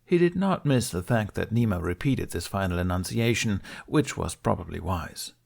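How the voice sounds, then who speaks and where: A man narrates an audiobook in a fairly low voice. He reads scripted fiction as a storyteller. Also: lively intonation, normal speed, vocal fry, a little mellow.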